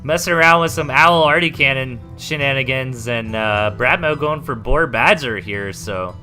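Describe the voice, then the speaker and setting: A man's voice: mid-range voice, moderate pace, pushed and bright, faint music behind. A man hosting a live esports replay cast, giving chatty play-by-play with lots of fillers. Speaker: host caster. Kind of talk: live esports game commentary.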